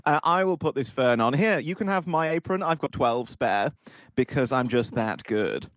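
A man's voice: mid-range voice, lively intonation, moderate pace, a bit bright, dry recording, natural voice. A self-adoring warehouse legend with a put-on posh English accent, bragging about himself, as a man plays him in an improv scene. His voice sits mid-range and is recorded dry and close. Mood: boastful. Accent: posh southern English put on. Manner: boastful, self-satisfied, breaks into laughs. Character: smug posh male star warehouse worker.

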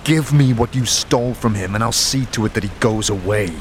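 A man voicing a scarred male hero who talks calmly and dryly, probing with quiet questions. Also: fairly low pitch, brisk, slight background noise, close to an everyday voice. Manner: calm, dry, probing.

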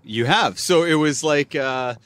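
A man's voice: a little high, a little slow, fairly bright tone, smooth, no echo. A young man hosting a podcast, interviewing his guest in a joking, casual way and laughing often. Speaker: podcast host.

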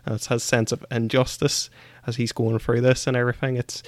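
A man's voice: medium pitch, flat delivery, brisk, dry recording. A man co-hosting a casual book discussion, giving opinions in hesitant phrasing with repeated words. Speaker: podcast co-host.

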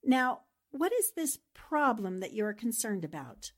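A confident 1940s radio newspaperwoman, played by an actress, wry and knowing. Her voice sits fairly high and swings expressively, with a measured, unhurried delivery. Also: very animated. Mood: inquisitive. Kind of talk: 1940s radio drama acting.

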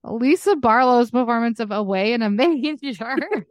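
A woman's voice: fairly high pitch, animated, measured pace, no echo. A woman co-hosting a podcast in a chatty, informal, casual style. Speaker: podcast co-host. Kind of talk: casual chat.

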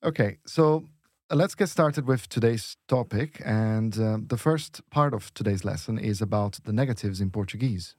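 A man's voice: fairly low pitch, normal speed, darkish tone, no room sound, clean studio sound. A man teaching Portuguese in a lesson dialogue, explaining patiently step by step with examples.

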